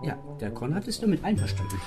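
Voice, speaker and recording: A woman's voice: deep voice, very animated, fast talker, over music. A woman playing a fussy, proud father in a radio play, speaking in a prim, insistent manner. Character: fussy, proud adoptive father of a gifted boy.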